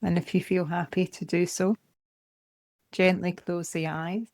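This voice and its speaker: Low, creaky-voiced woman guiding meditation. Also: no room sound. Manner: calm, gentle, measured, with short phrases. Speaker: meditation teacher. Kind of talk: guided body scan meditation.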